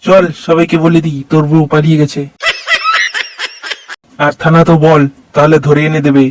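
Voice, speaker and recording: A man voicing a scheming, rough-mouthed village buddy in a comedy cartoon, mocking and slangy. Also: fairly high pitch, flat delivery, moderate pace, lightly acted. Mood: teasing.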